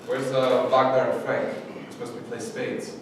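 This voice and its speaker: An actor in a staged reading playing a bossy, terse Nazi officer. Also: male voice, completely flat delivery, normal speed, natural voice. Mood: domineering. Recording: very echoey room, distant mic, noisy rumble.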